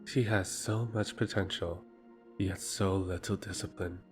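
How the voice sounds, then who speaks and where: An actor playing a sly, condescending schemer with silky, menacing formality in an audio fantasy drama. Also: male voice, deep voice, fairly even intonation, a little slow. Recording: music under the voice. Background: music.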